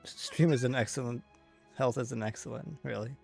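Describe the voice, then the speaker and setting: A man giving casual live commentary on a video game, rambling and halting as he thinks aloud. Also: mid-range voice, gravelly, a little mellow, faint music behind. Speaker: game streamer.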